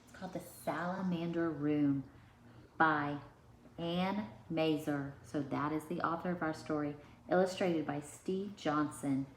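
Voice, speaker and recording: A woman pre-K teacher reads aloud to small children with expressive delivery. The room is noticeably echoey, with a little noise underneath. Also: low-pitched, unhurried. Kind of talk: read-aloud storytelling for young children.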